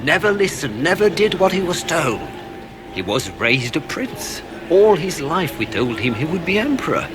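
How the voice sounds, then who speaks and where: A man's voice: fairly high pitch, big pitch swings, moderate pace. Grave older nobleman, swooping intonation. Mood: rueful. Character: older male nobleman, uncle of a grand duke, weary and grave. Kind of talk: video game dialogue acting. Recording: game audio under loud music. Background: loud music.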